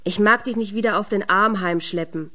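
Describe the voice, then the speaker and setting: A woman's voice: mid-range voice, moderate pace, bright, forceful tone, natural voice. A woman storyteller voicing a simple, grumbling cowherd in a fairy tale. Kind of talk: storytelling character voice.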